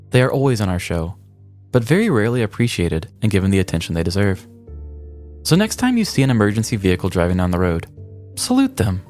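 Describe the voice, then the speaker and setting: Male podcast co-host, low register, scripted humorous crime narration, light music bed. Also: a little mellow. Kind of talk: scripted comedic true-crime storytelling.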